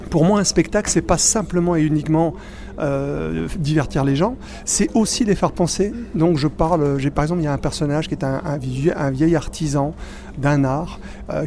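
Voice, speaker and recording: A middle-aged man, born in Spain and raised in Belgium, speaking as a magician guest in a casual interview, animated and full of restarts. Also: on the high side, a little hiss or hum. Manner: animated, many restarts and fillers.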